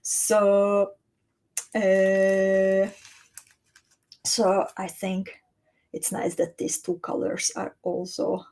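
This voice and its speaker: Slow, mellow woman. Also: medium pitch, monotone. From Hungary or Slovakia. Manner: chatty and descriptive, warmly addressing viewers by name. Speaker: host of a beading livestream. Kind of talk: live-stream chat, showing beads and reading viewer comments.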